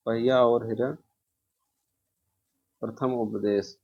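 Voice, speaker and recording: A man teaches in a medium-pitched voice. He goes through exam questions informally, posing each one and then explaining the answer himself. The recording is dull and lo-fi, with a muffled top end. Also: steady tone, slow pace, gravelly, dark tone, slightly rough. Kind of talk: informal lesson walking through quiz questions.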